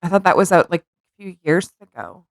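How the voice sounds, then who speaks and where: A woman's voice: fairly low pitch, sing-song, fairly quick, creaky, dry recording, clean studio sound. A woman co-hosting a podcast in casual chat. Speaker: podcast co-host.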